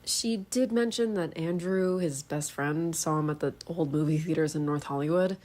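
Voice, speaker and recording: A woman's voice: sits low, normal speed, darkish tone, lightly acted. A woman playing a guarded young ex-girlfriend in a found-footage horror film, acted naturalistically. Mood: guarded, matter-of-fact.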